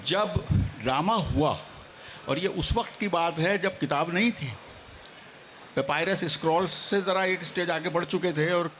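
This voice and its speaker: Formal guy lecturing on a panel, kinda high voice, careful delivery, bit of noise behind. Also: fairly bright tone. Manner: deliberate, formal, lecturing, mixes in English terms. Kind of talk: formal panel discussion talk.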